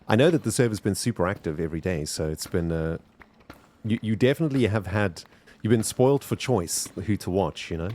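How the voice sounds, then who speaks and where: Chatty South African man, low voice. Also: animated, brisk, a little mellow. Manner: chatty, rambling, talks to chat. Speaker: streamer. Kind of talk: casual gameplay commentary.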